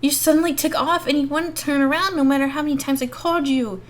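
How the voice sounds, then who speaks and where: Woman acting out an urgent, high-pitched worried girl. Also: steady tone, quick pace, natural voice. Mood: hurt, exasperated. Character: worried, exasperated young female friend in horror story.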